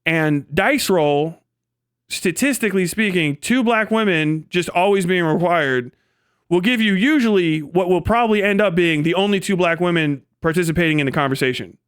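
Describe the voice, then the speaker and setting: A man talks to his livestream in a fairly high, very clear voice. He thinks out loud in a casual, animated ramble and curses freely. The sound is close and dry, with little room in it. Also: moderate pace, no room sound. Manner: rambling, animated, frequently swearing. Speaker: livestream host.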